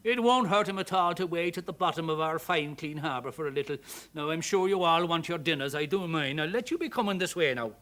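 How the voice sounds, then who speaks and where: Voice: medium pitch, a little fast, a bit bright, lightly acted. A narrator voicing a hearty, kindly Irish factory man with a folksy, emphatic lilt, in a storybook reading. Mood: soothing.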